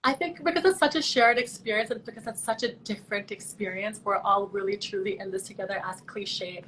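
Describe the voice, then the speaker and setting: A woman's voice: mid-range voice, a little fast, fairly bright tone, slight background noise, rough recording. A young woman from Canada, a pageant contestant, chatting earnestly and thoughtfully over a video call. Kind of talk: casual video call chat.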